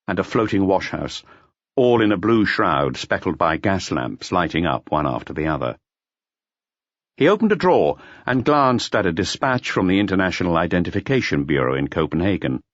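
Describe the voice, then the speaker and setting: Low-voiced man narrating an audiobook, lively intonation. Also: no echo, highs cut off. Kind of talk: scripted literary narration of a mystery novel.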